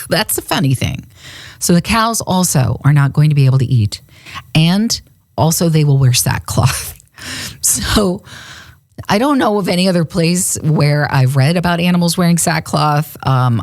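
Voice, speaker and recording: A woman's voice: deep voice, a little mellow, no echo, clean studio sound. A woman co-hosting a faith podcast, chatting casually and laughing often as she retells a Bible story. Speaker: radio and podcast co-host. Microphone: studio microphone.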